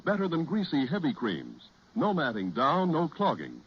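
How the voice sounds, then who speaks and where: Lively man reading vintage radio commercial. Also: fairly high pitch, moderate pace, breathy edge. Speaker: advertisement announcer. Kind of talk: 1940s radio commercial read. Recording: muffled, noisy 1940s radio broadcast.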